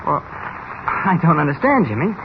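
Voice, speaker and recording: An actor playing a mild, amiable, evasive newspaper reporter with a hesitant, innocent manner in 1940s radio style. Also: male voice, on the high side, very animated, unhurried, gravelly, heavily acted. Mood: evasive, amused. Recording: noisy, muffled old radio recording.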